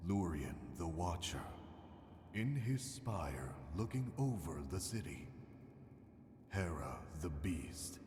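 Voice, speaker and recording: A man playing a solemn narrator of an ancient kingdom's lore in a video game, speaking gravely and deliberately. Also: deep voice, big pitch swings, exaggerated voice. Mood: solemn, reverent. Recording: cavernous long reverb, noisy ambience behind.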